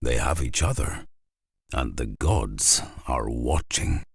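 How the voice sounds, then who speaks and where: A man playing a grave storyteller, narrating a Viking saga in a solemn, measured, gravelly voice. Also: rumbling low, animated, no echo, studio quality, heavily acted. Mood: solemn, portentous.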